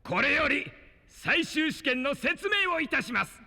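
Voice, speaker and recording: An actor voicing an excitable exam official in a theatrical, announcing manner for a voice drama. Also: male voice, extremely high pitch, unhurried, creaky, exaggerated voice. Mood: grandly announcing. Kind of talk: animated voice drama acting. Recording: long hall reverb with music behind.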